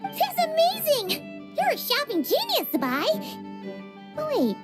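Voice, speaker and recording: A woman voicing a tiny excitable female floating companion in a video game, chirpy and quick to exclaim, with game music behind her. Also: extremely high pitch, exaggerated voice. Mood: excited. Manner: chirpy, quick to exclaim, talks about herself by name.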